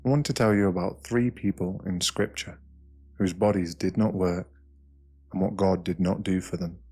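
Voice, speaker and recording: A British man with a deep, low voice reads a guided meditation. He speaks slowly and softly in short, soothing phrases, leaving space between them. Music plays behind him. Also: fairly even intonation, breathy edge. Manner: slow, hushed, soothing delivery with short phrases and pauses. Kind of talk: ASMR guided meditation, scripted narration.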